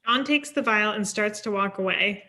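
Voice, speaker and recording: A woman's voice: mid-range voice, moderate pace, sharp tone. A woman giving scripted narration, reading out stage directions for a table read.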